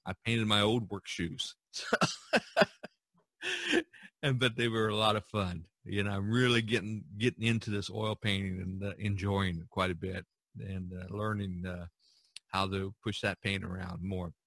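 A man's voice: on the low side, fairly even intonation, unhurried, a bit bright, no room sound. A man hosting a casual podcast about art, talking in a rambling, chuckling way. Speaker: podcast host and painter.

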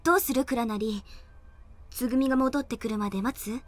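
A woman's voice: a little high, fairly quick, dry recording, lightly acted. A woman playing a self-assured, caring young scientist in a Japanese anime audio drama, with an informal, explanatory manner. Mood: considerate.

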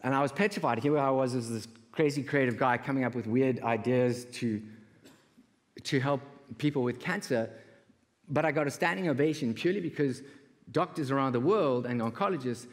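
A man's voice: mid-range voice, a little fast. South african guy doing a live talk on stage, mid-range voice, lots of hall reverb. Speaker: conference speaker, creative entrepreneur. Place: large indoor auditorium stage.

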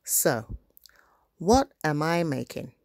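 A woman voicing a kindly, encouraging grandmother in a storybook, gently questioning and reassuring. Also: low voice, big pitch swings, slow pace, gravelly, dry recording, exaggerated voice. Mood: puzzled.

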